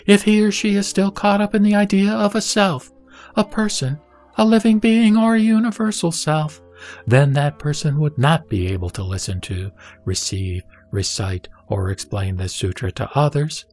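A man playing the Buddha as a serene, solemn teacher, reciting scripture in measured, deliberate phrases for an audio drama. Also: fairly high pitch, darkish tone, natural voice. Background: music.

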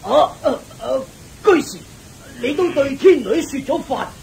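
A man's voice: very high voice, expressive, a little slow, gravelly, darkish tone, heavily acted. An actor playing an impatient, blustering demon king in a radio drama, speaking Hong Kong Cantonese. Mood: flustered, pleading.

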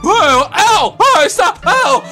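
A man doing an improvised comedy dub of game cutscenes in silly put-on voices, excitable and given to shouting. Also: extremely high pitch, slow pace. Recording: music under the voice. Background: music.

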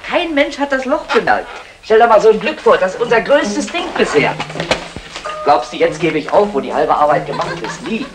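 An actor playing a cocky, bossy safecracker with a sly, mocking manner in a vintage German radio crime comedy. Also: male voice, high-pitched, big pitch swings, normal speed, music in the background. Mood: excited, bossy. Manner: sly, mocking, baby-talk diminutives. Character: cocky, scheming male safecracker, the gang's bossy planner. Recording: noisy vintage 1960 radio recording.

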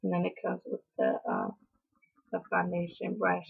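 Young woman vlogging a haul, hoarse and raspy, lots of ums, tinny webcam sound. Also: fairly low pitch, wildly swooping pitch, moderate pace, soft background music. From North Carolina. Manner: chatty, apologetic, lots of ums, coughs now and then. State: hoarse from allergies. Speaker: beauty vlogger. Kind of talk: casual haul video. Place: small indoor room, in front of a door. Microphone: webcam at close range.

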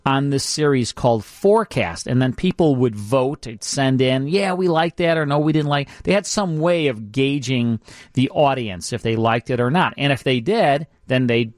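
An American man hosts a radio show in a chatty, informal way. His voice sits at a middle pitch, recorded close and dry in a studio. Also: dry recording. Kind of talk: casual radio banter and show promos.

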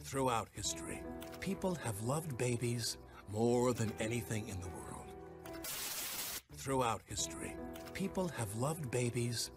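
A man voicing a bossy, cynical baby boss in an animated film, curt and deadpan with a gravelly voice. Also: medium pitch, a little slow, close to an everyday voice. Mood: lofty narration. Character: bossy suit-wearing baby boy, cynical corporate boss. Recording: music under dialogue.

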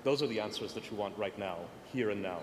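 A man's voice: lowish voice, quick pace. A man speaking energetically on a conference panel, with many fillers. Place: large conference hall. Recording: echoey conference hall, faint noise.

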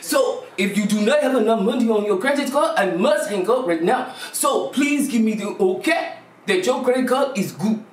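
A woman's voice: fairly high pitch, animated, moderate pace, lightly acted. A woman playing a brash, money-hungry Haitian TV psychic who sells her hotline in a bossy, theatrical, insistent way. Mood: mystical, businesslike. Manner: theatrical, bossy, insistent, repeats phrases for emphasis. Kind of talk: comedy skit of infomercial and call-in psychic show. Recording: echoey room, distant mic, music behind.

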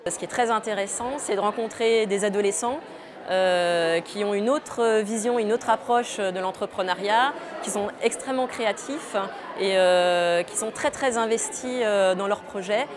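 A woman in her thirties giving interview remarks, pausing to search for words. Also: medium pitch. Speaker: jury member. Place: large busy indoor exhibition hall. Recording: crowd chatter behind.

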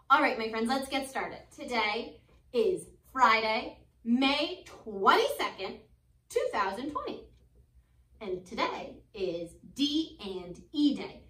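An American woman in her twenties, a schoolteacher reading a picture book aloud to children with expressive, chatty asides. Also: sits high. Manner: animated, expressive, deliberate, questioning young listeners. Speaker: elementary school teacher. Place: school classroom, indoors. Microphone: phone camera a few feet away. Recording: roomy classroom, mic at a distance.

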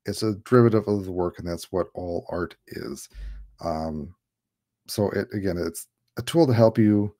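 A man's voice: deep voice, moderate pace, dark tone. A man in his thirties hosting a casual livestream on a video call, laid-back and jokey, often laughing. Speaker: livestream host. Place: home room. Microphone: headset on a video call.

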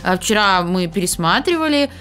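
A woman's voice: medium pitch, expressive, moderate pace, a bit bright. A chatty woman in her twenties giving casual reaction commentary as a video host. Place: small room set up as a streaming studio, indoors. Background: music from the watched cartoon.

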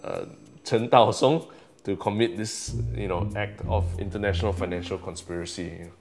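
A man's voice: on the low side, normal speed, darkish tone, faint music behind. A young man, retelling a phone call in a dry, sarcastic way. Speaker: co-host. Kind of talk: casual comedic retelling. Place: small office with bookshelves.

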